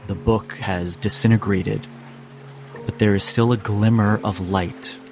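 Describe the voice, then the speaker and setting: A man as game master, narrating a tabletop game dramatically in deliberate, broken phrases. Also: lowish voice, fairly even intonation, a little mellow. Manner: deliberate, short phrases with pauses. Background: music and rain sounds.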